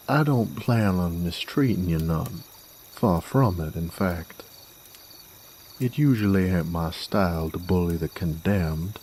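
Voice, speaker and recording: A man playing a cocky, gruff werewolf bounty hunter in an Old West audio drama, with a folksy, taunting American Western drawl. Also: low-pitched, a little mellow, slight background noise. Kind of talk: roleplay audio drama monologue, addressed to a captive.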